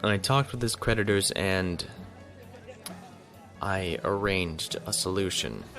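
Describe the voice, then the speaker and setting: A man acting a composed young noble naval lieutenant in a science fiction audio drama, formal and measured, coolly defiant. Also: deep voice, a bit bright, soft background music, full character voice. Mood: composed, dry.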